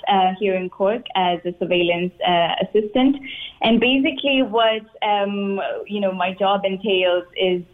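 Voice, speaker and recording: Woman on a tinny phone line. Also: mid-range voice. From Tanzania. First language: Swahili. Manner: measured, many "um" fillers. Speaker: radio guest, public health worker. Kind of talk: radio interview.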